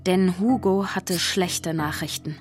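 A woman's voice: lowish voice, steady tone, slow, a little mellow. A woman narrator reading scripted narration for a children's radio play. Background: music.

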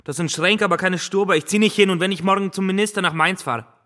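A man's voice: high-pitched, fast, pushed and bright. A man playing a stubborn, indignant refugee in a radio drama, complaining emphatically. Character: indignant, stubborn refugee refusing cramped housing.

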